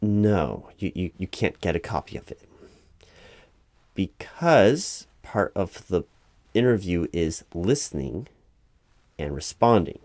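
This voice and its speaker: A man playing a recruiting manager in a soft-of-script, improvised ASMR interview roleplay, speaking aloud in a halting, officious, explanatory way. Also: low-pitched, sing-song, dry recording. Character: flustered, officious male recruiting manager at a company. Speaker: job interviewer in a one-sided roleplay.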